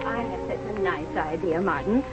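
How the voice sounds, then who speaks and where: A woman's voice: on the low side, moderate pace, loud music behind the voice, lightly acted. An actress playing a fussy, practical middle-aged mother-in-law with homely, insistent talk in an old radio drama. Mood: pleased, managing. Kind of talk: 1930s radio drama acting. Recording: muffled old radio recording.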